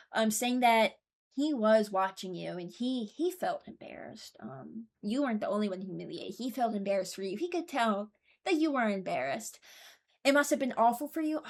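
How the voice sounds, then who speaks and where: A woman hosting a video, giving casual, rambling commentary and hesitating as she thinks aloud. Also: on the high side. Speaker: video creator and host.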